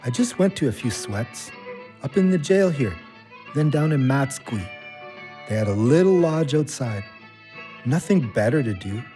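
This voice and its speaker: A man's voice: medium pitch, lively intonation, unhurried, long pauses, warm tone, faint music behind, close to an everyday voice. A man playing an earnest, plain-spoken ex-convict and loyal friend in a naturalistic audio drama.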